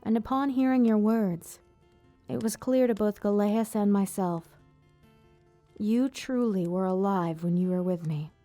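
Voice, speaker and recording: A woman playing an elegant vampire ruler, proud yet tender, speaking in formal, measured phrases in an ASMR roleplay. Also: medium pitch, dark tone, close to an everyday voice. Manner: formal, measured, theatrical diction. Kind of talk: ASMR roleplay, scripted monologue to listener.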